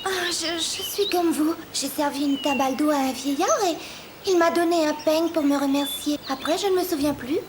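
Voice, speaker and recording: A woman playing a sweet, naive young princess in a dubbed cartoon fairy tale, gentle and earnest. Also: high voice, fairly even intonation, moderate pace, noisy background, close to an everyday voice. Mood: bewildered.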